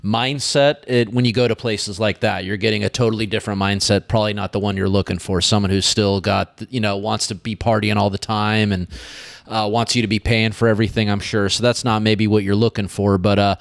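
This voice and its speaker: A man's voice: lowish voice, little pitch movement, a little fast, creaky, dry recording, studio quality. A man hosting a podcast, asking meandering questions in a casual, joking and sometimes crude way. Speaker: podcast host. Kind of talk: casual interview banter.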